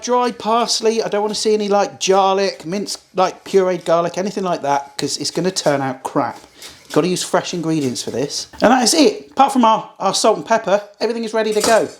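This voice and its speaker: A man's voice: high voice, expressive, fairly quick. A man hosting a casual cooking tutorial, chatty and blunt, swearing now and then. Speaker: cooking channel host.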